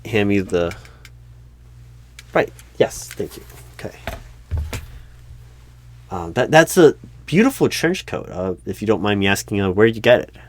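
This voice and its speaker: A man's voice: lowish voice, no room sound, natural voice. A man playing a polite, oblivious recruiting manager who stammers hesitantly and tactfully through a comedic one-sided job interview roleplay. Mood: patient, exasperated, then awkward, businesslike.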